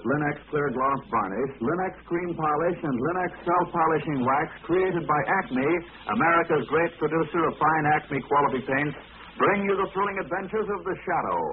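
Higher-voiced man announcing on vintage radio. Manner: polished, declamatory selling. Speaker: sponsor announcer. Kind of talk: 1940s radio advertisement, scripted. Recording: tinny old radio recording, faint noise.

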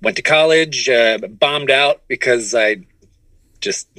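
A man's voice: mid-range voice, slow, bright, forceful tone, dry recording, poor audio. A middle-aged man being interviewed, telling his story in a casual, conversational way. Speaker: interview guest, comedy writer and voice performer.

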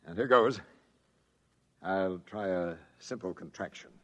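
An actor playing a commanding alien troop commander in an old-time radio drama, giving clipped military orders. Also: male voice, low voice, measured pace, lo-fi sound, very noisy. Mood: intent. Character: brisk, commanding male alien troop commander, excitable, authoritative.

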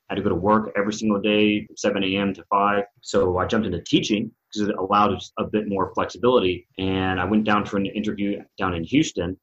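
Low-voiced laid-back man on a muffled line. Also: a bit bright. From Arkansas, US. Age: 30s. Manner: rambling, laid-back, with many "kind of" and "like" fillers. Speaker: guest. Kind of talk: casual storytelling interview. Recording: dull, lo-fi remote audio.

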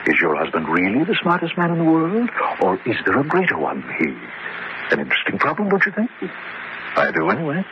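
Pompous, swooping gentleman adventurer. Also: mid-range voice, huge pitch swings, brisk. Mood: condescending, intrigued. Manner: florid, pompous, theatrical delivery. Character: pompous, suave gentleman adventurer, theatrically self-admiring and unflappable. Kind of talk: comic horror radio drama acting. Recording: muffled, noisy old radio drama recording.

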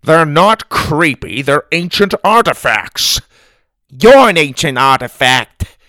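Guy doing a grumpy old grandpa in a kids story, defensive, kinda creaky, up and down a lot. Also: fairly low pitch, very animated, slow, bright, forceful tone, no room sound, studio quality, exaggerated voice. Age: older. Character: gruff huffy old grandfather, defensive and flustered.

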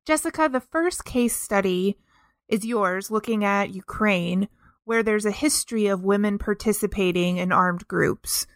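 A woman's voice: medium pitch, measured pace, no echo. A woman moderating a panel interview, asking polite, structured questions.

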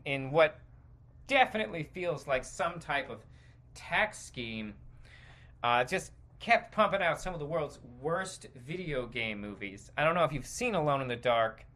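Man, somewhat high voice, podcast host, casual opinion rant, halting rambling delivery, informal and sweary. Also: a bit bright.

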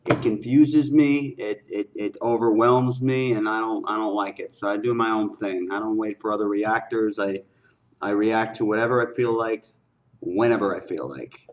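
A man's voice: on the low side, steady tone, normal speed. A man hosting a reaction video with casual, slangy commentary, often repeating phrases for emphasis.

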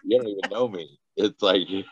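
A man's voice: low voice, brisk, a bit bright, husky, dry recording. A man from Texas, a guest on a podcast, talking casually and rambling with many restarts and laughs. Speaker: guest, party organizer.

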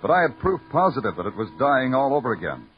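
A world-weary noir police lieutenant, voiced by a man, narrating in a terse, wry tone with lively swings in pitch. Music plays clearly behind him, and the audio has the dull, muffled sound of vintage radio. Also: quick pace, lightly acted. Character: hardboiled male police lieutenant narrating his case. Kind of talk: hardboiled first-person radio narration.

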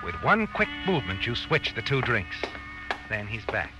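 An actor playing a knowing, ominous narrator in an old radio suspense drama, dramatic and insinuating in second person. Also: male voice, lowish voice, sing-song, moderate pace, over music. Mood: tense, insinuating. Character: knowing, ominous narrator addressing the guilty man as you.

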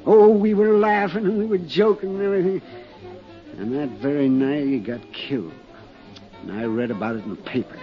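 A man's voice: on the high side, a little fast, lightly acted. An American actor playing a tipsy, over-friendly traveling salesman who rambles and repeats himself in a 1950s radio drama. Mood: rambling, confiding. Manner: chuckling, repetitive, hesitant, over-friendly. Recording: muffled 1950s radio recording, faint music.

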